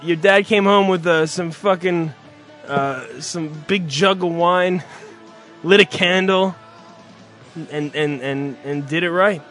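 A male radio cohost joking crudely, with loud profane outbursts and laughter. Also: fairly high pitch, slow pace, faint music behind. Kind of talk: crude, excitable banter.

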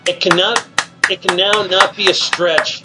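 A male radio show host leading deadpan teasing banter in a halting, filler-filled setup. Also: high voice, very noisy.

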